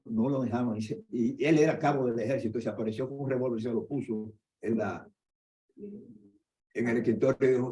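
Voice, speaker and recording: An older man, an orthopedic doctor giving a conference lecture in a lively storytelling way. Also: medium pitch. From Dominican Republic. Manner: conversational, animated storytelling with asides. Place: conference hall. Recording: echoey hall, dull lo-fi sound.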